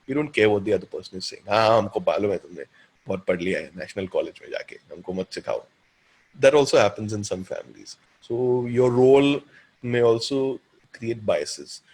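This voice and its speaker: Indian guy in his 30s giving advice on a webinar, talks quick, deep kinda raspy voice, acts stuff out. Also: rough recording. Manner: animated, acts out imagined dialogue. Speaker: guest speaker and counselor.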